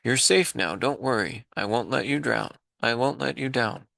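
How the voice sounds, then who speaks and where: A man's voice: medium pitch, normal speed, creaky, bright, forceful tone, dry recording. A man voicing a big, kind male elephant who is earnest and worried.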